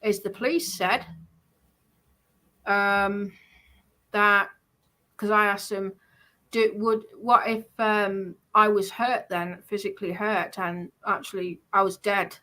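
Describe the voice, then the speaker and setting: A woman argues her point in a casual debate. Her voice is bright and forceful at an ordinary pitch. She is insistent, often saying a phrase over again. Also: little pitch movement, slow pace, long pauses, poor audio.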